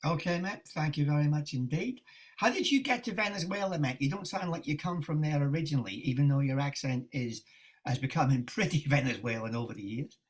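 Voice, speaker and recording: Podcast host heard from a distance. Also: male voice, fairly high pitch, a little fast. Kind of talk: interview questions.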